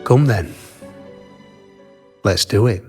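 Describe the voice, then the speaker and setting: An actor voicing a wise, terse weaponsmith in a game, speaking in measured sayings. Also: male voice, on the low side, over music. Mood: calm. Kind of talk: game voice acting.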